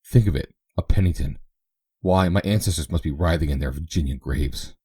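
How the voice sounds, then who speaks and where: A man's voice: extremely low pitch, a little fast, dark tone, husky, no room sound, exaggerated voice. A man voicing an older colonel and father who speaks in a dry, grumbling way.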